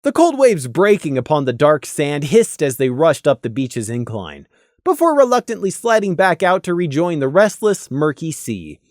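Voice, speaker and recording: Expressive storybook narrator, close dry sound. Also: mid-range voice, moderate pace, no echo. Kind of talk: scripted audiobook narration of fan fiction.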